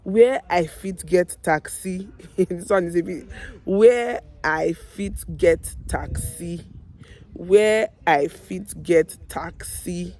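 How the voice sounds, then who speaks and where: Hoarse Nigerian woman teaching phrases. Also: on the low side, a little mellow. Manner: addresses viewers directly, repeats phrases slowly and deliberately. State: hoarse, losing her voice. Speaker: online language teacher, video host. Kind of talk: language lesson with slow repeated example phrases.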